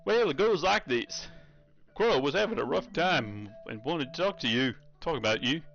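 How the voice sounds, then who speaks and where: A man's voice: on the high side, expressive, normal speed, vocal fry, a bit bright, highs cut off, soft background music. A man voicing a laid-back, teasing cowboy ghost with a Southern US accent and a drawling, folksy way of talking. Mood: laid-back, candid.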